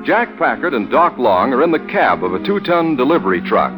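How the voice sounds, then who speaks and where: Male narrator for a vintage radio adventure: dramatic, highly animated, raised pitch, old recording under loud music. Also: a little fast. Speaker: announcer and narrator.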